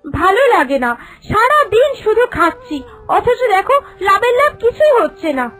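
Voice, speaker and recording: Woman acting a very high-pitched melodramatic young wife. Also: sing-song, moderate pace, a bit bright, faint music behind, exaggerated voice, rough recording. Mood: bitter complaining. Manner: plaintive, emphatic, repeating phrases. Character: discontented, melodramatic young working wife, excitable and bossy. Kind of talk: dramatic cartoon voice acting.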